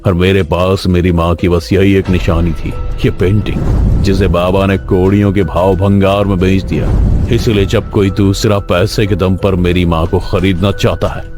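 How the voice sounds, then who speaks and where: A man acts out a vengeful artist and murderer in a storytelling drama. He is menacing and theatrical, taunting in a low voice. Music plays prominently underneath. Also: flat delivery, normal speed, lightly acted. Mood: bitter, confessional. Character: menacing vengeful male painter and killer, mocking, bitter.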